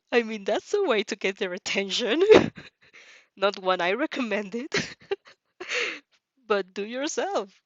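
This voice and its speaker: A woman's voice: on the high side, sing-song, slow pace, pauses often, no echo, dull audio. A woman reading a story aloud in a casual way, stumbling now and then and adding giggly asides. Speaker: reader reacting to fan fiction.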